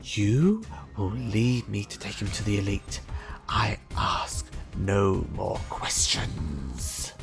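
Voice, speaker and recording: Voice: low-pitched, lively intonation, slow, gravelly, over music, heavily acted. A reader voicing a menacing, hissing alien creature in an audiobook drama.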